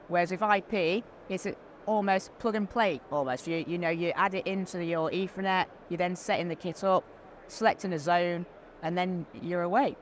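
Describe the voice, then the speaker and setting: A woman's voice: on the low side, moderate pace. Informal, practical woman explaining products. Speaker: product specialist being interviewed. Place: trade show floor. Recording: faint background noise. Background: faint ambient noise.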